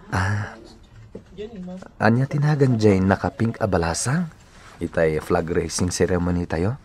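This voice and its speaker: An actor playing a good-natured, bashful young engineer in a radio drama, with a Filipino accent. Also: male voice, low voice, slow pace, long pauses, darkish tone. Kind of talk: Ilocano radio drama acting.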